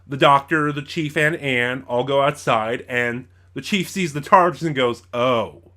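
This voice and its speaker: A man's voice: medium pitch, a little slow, pushed and bright. Male review-channel host. Mid-range voice, informal and opinionated, wanders and corrects himself as he goes. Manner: rambling, self-correcting, opinionated. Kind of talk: informal spoken audio drama review.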